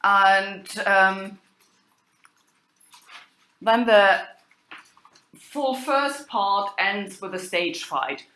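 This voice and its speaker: A woman giving an academic lecture in a halting way, pausing and hesitating often. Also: mid-range voice, sharp tone. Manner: halting delivery with long hesitations. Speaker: lecturer, university professor. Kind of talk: spoken lecture on old drama texts. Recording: echoey room.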